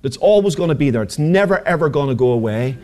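A man's voice: fairly high pitch, lively intonation, fast, darkish tone. A man, preaching a sermon as pastor, passionate and rhetorical with humorous asides. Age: 40s.

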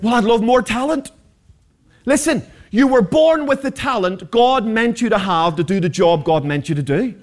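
A man in his forties preaches in a high voice that swoops constantly. His delivery is passionate and rhetorical, with joking asides to the congregation. The pitch climbs and falls over a very wide span. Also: lively intonation, normal speed. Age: 40s. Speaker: pastor. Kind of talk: sermon.

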